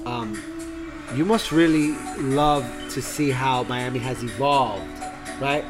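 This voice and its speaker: A man's voice: mid-range voice, slow pace. Upbeat male co-host, music behind. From New York. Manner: upbeat, chiming in with short reactions. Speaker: podcast co-host. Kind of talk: casual podcast chat.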